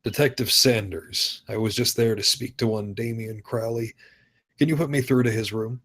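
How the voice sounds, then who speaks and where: A man's voice: mid-range voice, fairly even intonation, normal speed, a bit bright, lightly acted. A man playing a curt, impatient small-town detective in a screenplay table read. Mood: businesslike.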